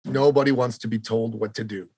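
A man's voice: mid-range voice, steady tone, fairly quick, a little mellow, husky, dry recording, studio quality. A man from Canada hosting a political podcast, speaking with passion and repeating phrases for emphasis. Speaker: podcast host. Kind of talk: impassioned political podcast talk.

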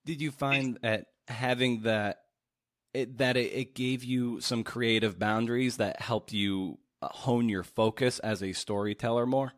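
A man hosting a conversational interview podcast, halting and repeating words as he works through long questions. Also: mid-range voice, fairly even intonation.

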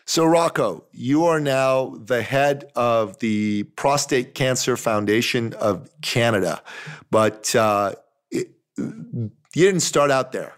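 A man's voice: medium pitch, animated, takes it slowly. A man hosting a podcast, interviewing a guest in a casual, friendly, informal way.

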